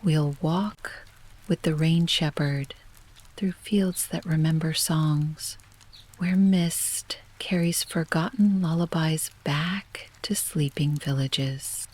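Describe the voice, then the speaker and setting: A woman narrating a guided sleep meditation in a soft, breathy near-whisper, gentle, hushed and unhurried, like a soothing scripted bedtime story. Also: low-pitched.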